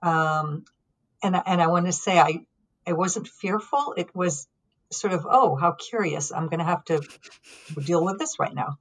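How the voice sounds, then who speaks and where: Low-voiced woman, calm and reflective. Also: rough recording. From Lebanon. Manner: calm, reflective, with frequent ums and pauses. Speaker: guest. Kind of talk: conversational storytelling.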